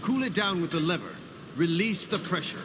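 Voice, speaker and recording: Casting: a devout fantasy forge master, slightly high male voice, animated and exhorting, game dialogue over clear background noise. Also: moderate pace. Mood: urging. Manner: formal, exhorting, emphatic. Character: hearty devout warrior smith, encouraging forge master. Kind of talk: video game character dialogue.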